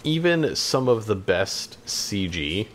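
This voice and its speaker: Low-voiced man chatting casually. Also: very animated, takes it slowly, slight background noise. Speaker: podcast co-host. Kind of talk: casual chat.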